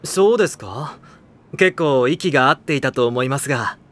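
A man playing an unflappable, agreeable high-school boy who speaks courteously and formally in an anime audio drama. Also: lively intonation, moderate pace, a bit bright, close to an everyday voice. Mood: pleasant. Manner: courteous, composed, smooth formal speech.

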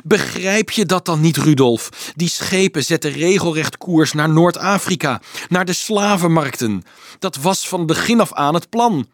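A man's voice: fairly high pitch, sing-song, a little slow, no echo, clean studio sound, voice pushed up. A man voicing an ashamed, anguished medieval monk with a pained, pleading manner in a dramatised audiobook. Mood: grave, agitated. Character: ashamed, anguished male medieval monk confessing a grim plot.

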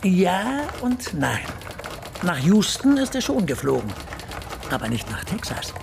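A breezy police detective in a radio drama, teasing and very animated. Her voice is creaky, set against a noisy room. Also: on the low side, measured pace, exaggerated voice.

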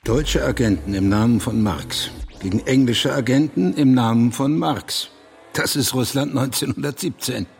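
A man's voice: mid-range voice, normal speed, creaky, darkish tone, slight background noise, lightly acted. An actor playing a grim, pious anti-revolutionary Russian man who argues emphatically in a German radio drama. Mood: bitter, insistent. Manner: declamatory, emphatic.

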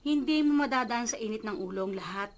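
A woman's voice: on the high side, fast, close to an everyday voice. An actress playing a soothing, indulgent middle-aged Filipino mother and wife in a radio drama. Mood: placating. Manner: soothing, placating.

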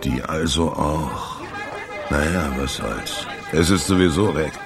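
An actor playing a boozy, wistful male barfly in a German radio drama, rambling and musing in a gravelly voice. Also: rumbling low, slow pace, exaggerated voice. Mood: musing, resentful. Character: boozy, wistful male barfly, slyly sociable jazz fan. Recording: bar crowd murmur behind.

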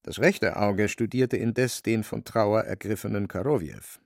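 A man narrating a literary radio play as scripted storytelling, with a creaky voice. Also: fairly low pitch, unhurried, no room sound, clean studio sound.

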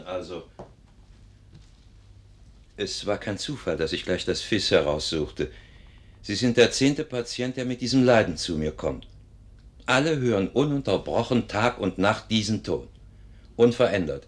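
A sober doctor in a vintage radio play. His voice is low and swings widely in pitch, while his delivery stays clinical and deliberate. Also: expressive. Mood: grave. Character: male doctor, sober, measured, resigned. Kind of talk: 1950s German radio drama acting. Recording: vintage 1950s radio recording.

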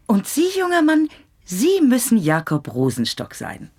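A woman's voice: fairly high pitch, huge pitch swings, unhurried, a little mellow, heavily acted. An actress playing a sly, mannered society lady in a radio play, speaking in a knowing way. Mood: arch, sly.

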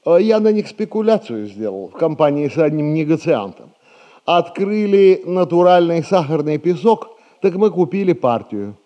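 An actor playing a foolish, scheming middle-aged merchant in a classic radio comedy, pompous and affectedly genteel. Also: male voice, fairly high pitch, expressive, normal speed, warm tone, dull audio, close to an everyday voice. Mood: self-important.

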